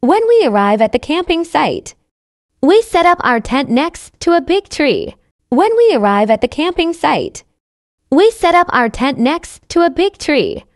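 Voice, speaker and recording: A woman reading a scripted story for language learners, with careful enunciation and identical, measured phrasing. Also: high voice, big pitch swings, dry recording, studio quality. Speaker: narrator of English learning stories.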